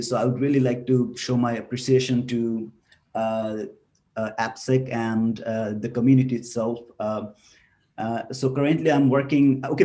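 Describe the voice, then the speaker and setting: Man from Bangladesh, academic talk, dark mellow voice, hesitant, fillers. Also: mid-range voice. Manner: hesitant, many filler sounds. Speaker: webinar presenter, researcher. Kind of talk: academic presentation. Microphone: computer mic on a video call.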